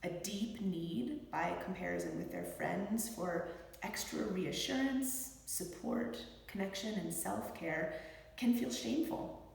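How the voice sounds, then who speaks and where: A middle-aged woman, a physician, reading her own book aloud in an expressive, measured and deliberate way. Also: mid-range voice, creaky. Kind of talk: expressive audiobook-style read-aloud. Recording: very echoey room with long reverb.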